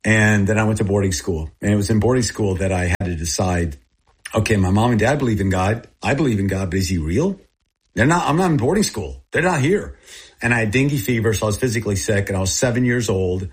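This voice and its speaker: A man in his sixties from Texas and Thailand, a native Thai speaker, telling personal stories in an earnest, confessional way in short plain phrases, with a husky voice. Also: fairly low pitch, expressive, fast. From born in Texas, grew up in Thailand. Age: 60s. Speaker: interviewee, missionary aid group founder. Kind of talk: personal storytelling in an interview.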